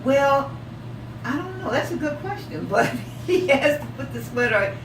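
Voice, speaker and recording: A woman teacher reading a picture book aloud to young children, asking questions, repeating their answers encouragingly and stretching words for emphasis. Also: sits high. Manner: expressive, drawn-out emphasis on story words. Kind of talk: interactive read-aloud storytelling with questions. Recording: echoey room, distant mic, loud background noise.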